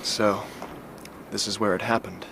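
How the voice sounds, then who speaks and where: A brisk, bluff young estate heir, outdoors. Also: male voice, lowish voice, flat delivery, creaky. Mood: uneasy, determined. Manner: bluff, direct, informal. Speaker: actor in a radio drama. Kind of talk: radio drama acting. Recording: outdoor ambience noise, vehicle sounds.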